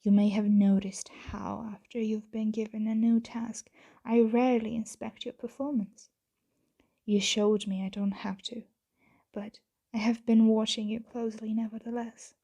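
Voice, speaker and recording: A woman voicing a possessive yet tender vampire owner in an ASMR roleplay, speaking softly and often whispering in formal, gently commanding words close to the mic. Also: on the high side, little pitch movement. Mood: hesitant, fond. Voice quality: soft, breathy, often whispered. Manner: slow, hushed, gently commanding, formal wording. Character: possessive, tender female vampire owner addressing her servant.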